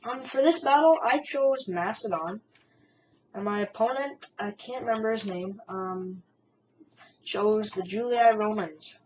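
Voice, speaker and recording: Hoarse, hesitant gameplay commentator. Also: female voice, medium pitch, unhurried. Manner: hesitant, many ums and restarts. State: slightly hoarse from a cold. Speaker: game commentator. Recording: distant, muffled mic with faint hiss.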